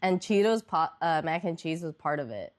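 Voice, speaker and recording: Stuffy nosed young woman streaming, rambly casual chat, kinda low voice. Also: animated, moderate pace. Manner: rambling, lots of fillers. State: congested, nasal.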